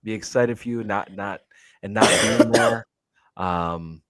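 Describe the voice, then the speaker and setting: A man's voice: fairly low pitch, a little slow. A man co-hosting a casual podcast, friendly, stumbling and repeating words as he talks. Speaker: podcast co-host. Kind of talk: casual chat.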